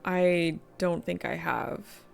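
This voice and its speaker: A woman's voice: on the low side, takes it slowly, creaky, soft background music. A tired, slightly sniffly woman streaming a game, chatting casually, reading chat aloud and laughing often. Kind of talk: casual gaming livestream chat.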